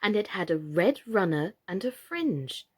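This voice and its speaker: A woman's voice: mid-range voice, sing-song, a little slow, slightly rough. A woman reading a storybook, voicing a timid, dreamy young girl in a hesitant, questioning way.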